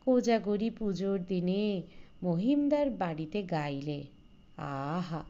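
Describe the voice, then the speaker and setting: A woman voicing a flattering village priest, a man eager to please, with drawn-out admiring phrases in an audio story. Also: on the low side, highs cut off, voice pushed down.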